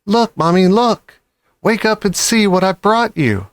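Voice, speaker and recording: A man voicing a small, eager young fox cub in a children's storybook, gushing and breathless with excitement. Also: sits high, a little slow, dry recording, pitched above the performer's own voice. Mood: excited, joyful.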